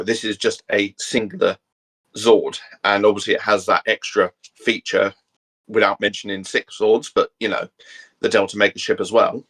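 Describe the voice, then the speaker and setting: A man with a fairly low voice chats casually as a co-host. His delivery is hesitant and rambles along informally from one thought to the next. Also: a bit bright. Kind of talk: casual chat.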